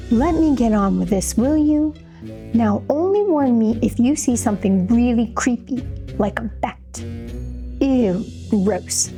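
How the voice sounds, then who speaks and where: Woman playing a high, jittery, slow-paced storybook narrator. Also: dark tone. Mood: startled, doubtful. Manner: theatrical, addresses listener directly with questions. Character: jittery, easily spooked storybook narrator warning the listener. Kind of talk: dramatic children's read-aloud.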